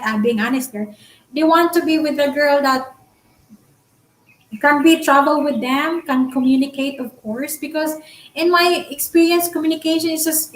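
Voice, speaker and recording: A woman's voice: high-pitched, reverberant, low-quality recording. A talkative, candid woman from the Philippines with a Filipino accent, whose first language is Bisaya, chatting casually with viewers on a live stream. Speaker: vlogger answering live chat.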